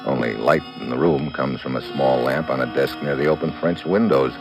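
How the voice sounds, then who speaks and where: A man's voice: rumbling low, brisk, creaky, over music. An actor playing a tough detective hero, narrating his adventure tersely in an old radio drama style. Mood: grim, matter-of-fact. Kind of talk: 1940s-50s radio drama acting, first-person narration.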